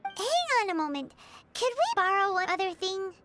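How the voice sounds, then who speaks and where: A woman voicing a small, cheerful, childlike fairy companion with a squeaky, bubbly delivery in a video game. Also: big pitch swings, moderate pace, loud music behind the voice. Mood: eager. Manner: bubbly, exclaiming. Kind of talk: animated video game dialogue.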